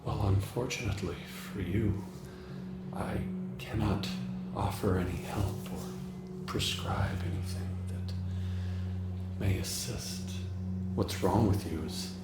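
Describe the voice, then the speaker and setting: Man acting a deep, slow, detached alien doctor. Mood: detached, formal. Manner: halting, musing, formal, with hesitant repetitions. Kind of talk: ASMR roleplay. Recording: echoey voice under loud music. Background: loud music.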